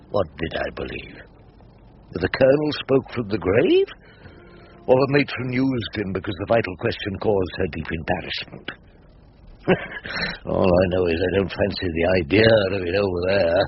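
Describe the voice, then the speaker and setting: A man playing a crafty, ageing English barrister in a radio drama, with a ponderous, theatrical delivery. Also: fairly low pitch. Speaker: barrister and narrator. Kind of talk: British radio drama acting. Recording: muffled, noisy radio broadcast.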